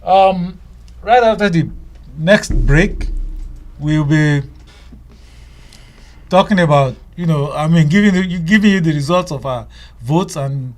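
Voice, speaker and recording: A man's voice: a little high, measured pace, pauses often. A male radio host talking casually, with many fillers and restarts.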